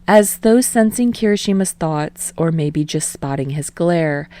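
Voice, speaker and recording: A woman reading fan fiction aloud in a calm, steady narration. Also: low voice. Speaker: podfic reader. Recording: dry close home recording.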